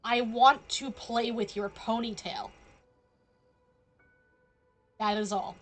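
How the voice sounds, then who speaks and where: A woman's voice: fairly high pitch, slow, soft background music. A woman doing casual, jokey live commentary as she streams a game, with a playful manner.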